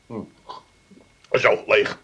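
A wry, down-to-earth son. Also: male voice, drawn-out words, sharp tone, slight background noise, rough recording. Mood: impatient, incredulous. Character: down-to-earth, wry grown son in an Amsterdam family. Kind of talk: 1980s comedy radio play acting.

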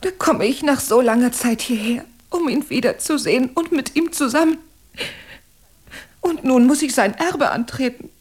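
A woman's voice: high voice, normal speed, darkish tone, husky. A woman playing an elegant, earnest lady in a radio drama, speaking expressively and with feeling. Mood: troubled. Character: elegant woman of Spanish descent, earnest and emotional. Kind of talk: German radio drama acting.

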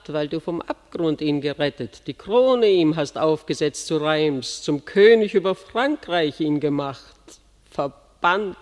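Casting: a commanding, mocking royal captor; slow, deliberate delivery, big reverberant hall. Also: female voice, on the low side. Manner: mocking, imperious. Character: scornful queen mother, commanding captor. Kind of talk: classic stage-verse radio drama.

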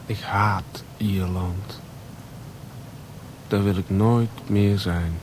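An actor playing a restless, rundown drifter in a Dutch radio drama, speaking earnestly and haltingly, sometimes repeating words. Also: male voice, on the low side, fairly even intonation. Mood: bitter. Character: restless, rundown male drifter seeking open country. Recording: noisy old radio play recording.

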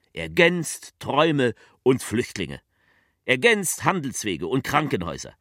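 An actor playing a political orator who delivers a bleak, defeatist speech in a theatrical radio play, declamatory and repetitive, with a husky voice. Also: male voice, mid-range voice, fairly bright tone, dry recording, clean studio sound. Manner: declamatory, repeating phrases with rhetorical pauses.

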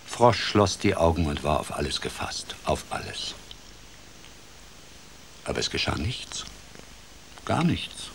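Deep, unhurried storytelling grandpa. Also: male voice, gravelly, slight background noise, heavily acted. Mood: dramatic storytelling. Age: older. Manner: expressive, dramatic, shifts between hushed and lively. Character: grandfather telling his grandchild a fairy tale, playful, wry. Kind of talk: animated fairy-tale narration in radio play.

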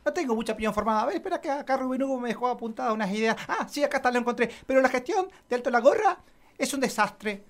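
A woman acts out a bureaucratic Argentine councillor in a satirical radio play. The voice is fairly high, and the talk is evasive, wordy officialese, hesitant and self-serving. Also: lively intonation, very fast, lightly acted. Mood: hedging.

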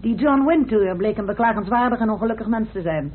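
An actress playing a sharp, precise, assured woman investigator in a radio drama. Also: on the high side, lively intonation, brisk, slightly rough. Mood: measured, assured. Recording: muffled, tinny old radio recording.

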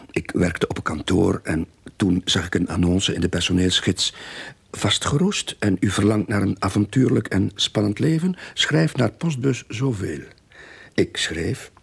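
Radio drama casting: sceptical novice investigator, creaky and low, husky, colloquial, a bit awkward. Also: male voice, lively intonation, a little slow, heavily acted. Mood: wry, uneasy. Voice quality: gravelly, husky. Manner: hesitant, colloquial, grumbling. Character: reluctant, sceptical novice male private detective, awkwardly polite.

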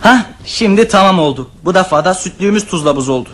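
A man's voice: high-pitched, expressive, fast talker, over loud music. An actor in a radio play voicing a bossy, know-it-all teenage eldest brother who orders his siblings around. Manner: bossy and commanding.

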